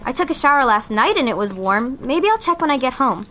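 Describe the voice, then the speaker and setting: Young woman as sensible exasperated teen girl, audio drama, high-pitched, swooping intonation. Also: big pitch swings, brisk, a bit bright, slight background noise. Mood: casual, puzzled. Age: young adult. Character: exasperated, sensible teenage girl with a broken-down car. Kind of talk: amateur audio drama acting. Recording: music behind the dialogue.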